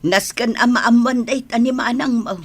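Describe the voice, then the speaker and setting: An actress playing a fond, worried mother of grown children in a radio drama, in a husky, breathy voice. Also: mid-range voice, animated, normal speed. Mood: concerned.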